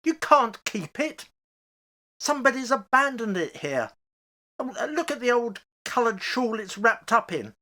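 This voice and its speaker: An actor as a fearful older man in a horror radio play. He speaks slowly and haltingly, with pitch that swings dramatically. He sounds agitated and pleading. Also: sing-song, pushed and bright, heavily acted. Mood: hesitant, disbelieving.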